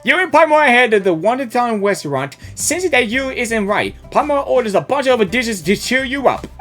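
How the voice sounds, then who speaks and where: A woman game streamer giving casual, excitable live reactions and reading dialogue aloud, often repeating herself. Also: on the high side, normal speed, faint music behind.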